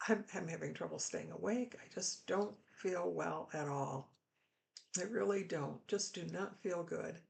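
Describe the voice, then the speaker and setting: A middle-aged woman pastor, hoarse after throat surgery, giving a candid, chatty and reassuring health update to viewers. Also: fairly low pitch, a little mellow. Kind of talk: casual health update vlog.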